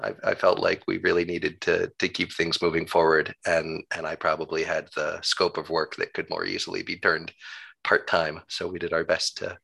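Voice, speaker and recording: A good-humored man in his thirties talks casually as an interview guest. His voice is low and creaky, with a bright, forward tone that keeps it clear-cut. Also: flat delivery, moderate pace. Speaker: interview guest, town clerk.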